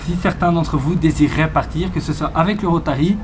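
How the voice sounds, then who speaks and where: A man's voice: a little high, brisk. Young guy vlogging in an echoey room. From Belgium. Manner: chatty, reads out questions then answers them. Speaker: exchange student vlogger. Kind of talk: casual vlog Q&A. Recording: roomy echo with faint ambient noise.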